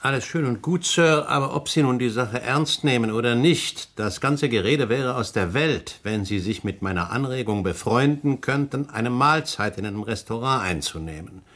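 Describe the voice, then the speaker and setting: A man playing an insistent, businesslike company director in a radio play, brisk and impatient. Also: medium pitch, animated, fairly bright tone. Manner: brisk and impatient, pressing his point. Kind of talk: German radio play acting.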